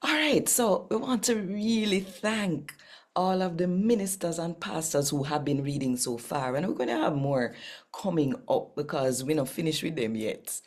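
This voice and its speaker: A Jamaican woman with a husky, gravelly voice, hosting a scripture reading channel in a deliberate, emphatic manner. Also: deep voice, darkish tone. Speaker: host and scripture reader.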